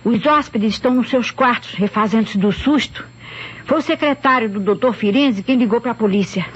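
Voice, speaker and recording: Husky, deferential servant. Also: female voice, on the high side, moderate pace. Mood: servile, nervous. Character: deferential servant receiving a police inspector. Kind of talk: old radio drama acting. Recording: dull old radio recording.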